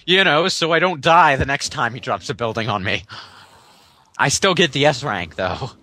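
A man's voice: medium pitch, lively intonation, normal speed, pushed and bright. A man giving casual, joking commentary while playing a video game.

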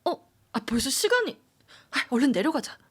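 A woman's voice: sits high, big pitch swings, a little fast, reverberant. A woman voicing a cheerful, upbeat young coworker who is planning a group outing, in an amateur audio drama.

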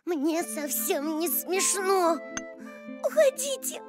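Very high, bubbly little zebra girl. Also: takes it slowly, full character voice. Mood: sulky. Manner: expressive, exclamatory, childlike. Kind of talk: children's cartoon voice acting. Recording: loud children's music behind.

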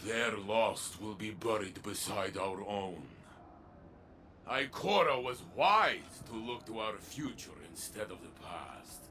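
An actor playing a noble armored robot warrior, earnest and declamatory, in a dramatic video game. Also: male voice, lowish voice, expressive, slow pace, sharp tone, a little hiss or hum, heavily acted. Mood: solemn, proud. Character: noble armored robot warrior, fervent protector, warm to allies.

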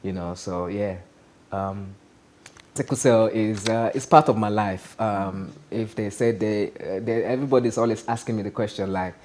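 A man's voice: lowish voice, moderate pace, a little hiss or hum. A man with a Nigerian accent, a stand-up comedian talking casually as a guest in a TV studio interview. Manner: animated, gestures a lot, asks "you understand?". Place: TV studio set.

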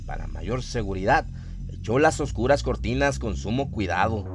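A man's voice: on the low side, animated, moderate pace. A man narrating a scripted horror radio drama as its storyteller. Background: atmospheric music and sound effects.

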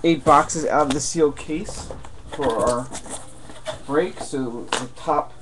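A man's voice: fairly high pitch, slow pace, noisy background. A man giving muttered play-by-play while opening packs of trading cards during a live break. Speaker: card break host. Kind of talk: live card break commentary. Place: room with boxes on a desk. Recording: faint wrapper crinkling behind.

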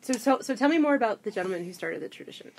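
A woman's voice: on the high side, lively intonation, very fast. A woman interviewing a guest, friendly and curious, often laughing along. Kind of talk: casual interview.

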